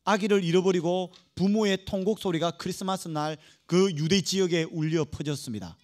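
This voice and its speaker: A male pastor delivers a sermon in a notably high voice for a man. He is emphatic and dramatic, stressing key phrases and drawing them out. He keeps turning to the congregation with rhetorical questions. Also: normal speed. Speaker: pastor preaching to young adults. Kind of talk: Protestant church sermon.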